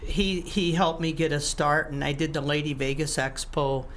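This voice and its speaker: An older man, a guest musician, telling his story in a halting, casual way with many restarts and some throat clearing. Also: fairly high pitch, level tone, a bit bright, a little hiss or hum. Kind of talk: casual interview talk.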